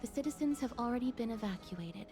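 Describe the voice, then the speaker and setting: An actress playing a gentle, solemn young maiden in a video game, speaking softly, formally and with composure. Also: female voice, fairly high pitch, expressive, fast, lightly acted. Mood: calm. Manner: soft-spoken, formal, composed. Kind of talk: video game drama acting. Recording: game music behind voice.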